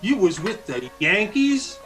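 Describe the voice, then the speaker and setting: A man's voice: high-pitched, very animated, fairly bright tone, faint music behind, exaggerated voice. An American man playing a menacing, mocking cowboy bully in an old-time radio Western. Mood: sly, probing. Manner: mocking, slow-drawling taunts. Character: menacing cowboy bully, sneering ex-Confederate guerrilla.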